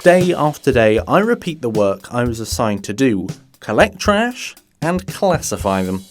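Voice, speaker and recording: A man voices a lowly cartoon worker who is zealous and grandiose about his destiny. The delivery is earnest and widely inflected, with music behind it. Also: very animated, unhurried. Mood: fervent, hopeful. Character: downtrodden trash worker, zealously believing himself chosen.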